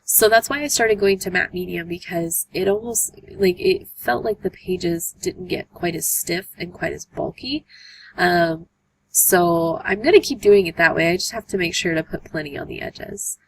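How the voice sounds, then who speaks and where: A young woman with a hoarse voice from a cold, hosting a casual art tutorial voice-over with lots of "um" fillers. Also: on the low side, expressive, normal speed, a little hiss or hum, rough recording. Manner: chatty, many "um" fillers. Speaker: art journaling tutorial host.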